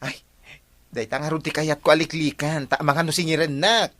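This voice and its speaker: Shameless Ilocano village debtor, piercing bright voice, dry sound, gossipy, radio comedy drama. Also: female voice, low voice, fairly even intonation, moderate pace, dry recording. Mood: gossipy, evasive.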